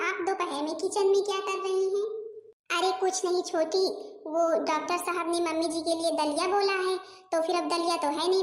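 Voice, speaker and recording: A woman voicing young daughters-in-law in a Hindi cartoon comedy, chatty and complaining with theatrical asides, in an echoey, reverberant recording. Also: very high-pitched. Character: scheming, aggrieved young daughters-in-law in a village household.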